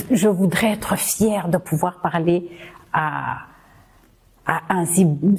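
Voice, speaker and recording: Slow, husky-voiced Rwandan-born woman. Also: on the low side, mellow. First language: Kinyarwanda. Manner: earnest, rallying, with frequent hesitations and repetitions. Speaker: podcast host. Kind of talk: impassioned podcast monologue.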